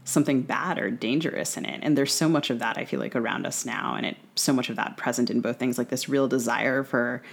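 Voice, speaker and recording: A woman's voice: very deep voice, vocal fry. A woman co-hosting a casual literary conversation in a discursive, wry way. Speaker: podcast co-host.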